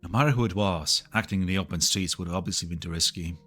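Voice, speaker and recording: A man's voice: low-pitched, fast talker, pushed and bright, faint music behind. A man playing an earnest, analytical tech specialist in a game fan dub, protective and precise as he explains. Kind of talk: scripted anime game dub acting.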